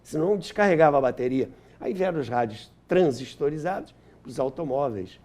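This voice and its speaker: Older man, Brazilian accent, lively pitch swings, soft-edged tone, explanatory storytelling, interview guest. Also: brisk. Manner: explanatory, acts out quotes, repeats phrases for emphasis. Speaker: interview guest, historian and author.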